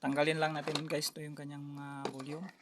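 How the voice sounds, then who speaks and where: A Filipino man talks viewers through a hands-on repair in a casual, halting way. His voice is gravelly with very little rise and fall in pitch. The amateur recording has clear background noise. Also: completely flat delivery. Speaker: electronics repair tutorial host. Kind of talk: casual hands-on step-by-step demonstration. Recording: noisy amateur recording with clicks.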